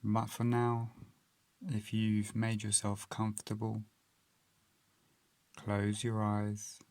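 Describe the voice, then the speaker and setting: A man narrating a guided meditation as a guide, calm and gentle, with measured phrases and long pauses. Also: fairly low pitch, no room sound.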